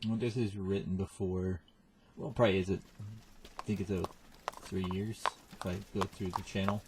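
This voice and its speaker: A man's voice: low-pitched, level tone, normal speed, heavy background noise. A man giving casual, rambling reaction commentary as a video host. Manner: offhand, rambling.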